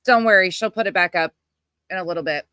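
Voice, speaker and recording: A woman's voice: lowish voice, fast talker, pushed and bright, clean tone. A woman hosting a live reaction stream, with a blunt, combative, sweary way of talking. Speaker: livestream host.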